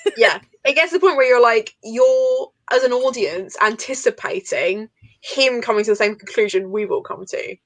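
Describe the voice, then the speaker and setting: A woman's voice: fairly high pitch, normal speed. A woman in a casual book discussion, talking informally and analytically as she thinks aloud. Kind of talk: casual live book chat.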